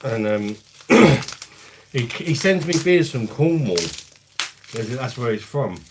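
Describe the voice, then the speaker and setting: A man's voice: expressive, slow pace, background noise. A man, casually chatting and laughing while hosting a beer review live stream on his phone. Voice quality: deep voice. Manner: laid-back banter, laughs often, swears.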